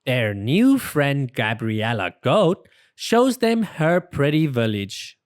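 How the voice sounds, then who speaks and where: A storybook reader narrating for young children in an expressive, deliberate way and voicing the characters. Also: mid-range voice, no echo, studio quality.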